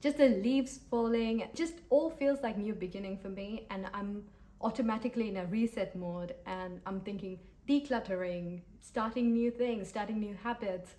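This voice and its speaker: A woman in her late twenties from Sri Lanka, talking brightly and directly to her viewers in a scripted get-to-know-me video. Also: mid-range voice, moderate pace. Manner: upbeat, lively, addressing viewers directly. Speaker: vlogger. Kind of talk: scripted vlog to camera.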